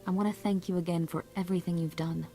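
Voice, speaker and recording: Casting: woman as composed sci-fi game ambassador, gracious and formal, lowish creaky voice, light music underneath. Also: fairly even intonation, fast talker, warm tone, natural voice. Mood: relieved, gracious. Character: poised, gracious female ambassador in a space opera. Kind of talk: scripted video game acting.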